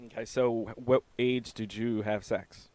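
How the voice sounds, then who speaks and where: A man's voice: fairly low pitch, fairly even intonation, measured pace, darkish tone, no room sound. A man hosting a casual radio interview with loose, crude joking banter. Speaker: host.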